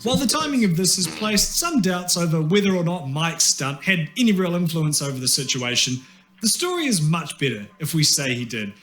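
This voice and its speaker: A man's voice: on the high side, big pitch swings, a little fast, a bit bright. A man with a New Zealand accent reading scripted comedic narration in a wry, tongue-in-cheek way. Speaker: video essayist.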